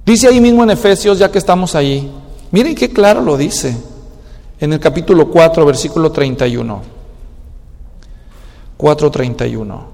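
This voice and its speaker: A man's voice: fairly high pitch, lively intonation, normal speed, creaky, pauses often, a little mellow. A man preaching a sermon in an emphatic manner, now and then mimicking people's excuses. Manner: emphatic, acts out excuses in a mocking tone. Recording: long hall reverb.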